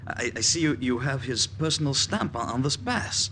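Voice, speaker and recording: An actor playing a nervous, officious Nazi checkpoint guard with a German accent and a hesitant stammer, in 1940s radio style. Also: male voice, mid-range voice, sing-song, moderate pace, sharp tone. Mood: flustered. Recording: faintly noisy vintage radio recording.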